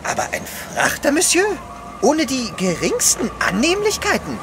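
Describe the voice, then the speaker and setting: Fussy manservant, high and swooping. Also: male voice, huge pitch swings, moderate pace, noisy background, heavily acted. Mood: exasperated. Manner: polite, drily sarcastic, exasperated. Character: fussy, long-suffering, sarcastic French manservant. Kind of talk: audio drama acting. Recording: clear outdoor ship ambience behind.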